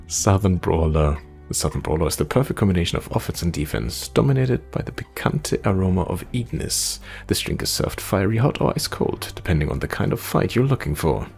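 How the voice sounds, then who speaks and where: A man's voice: low-pitched, expressive, fairly quick, creaky, darkish tone, faint music behind. A man playing a game, reading its text aloud expressively and adding casual commentary. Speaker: gamer playing through a demo.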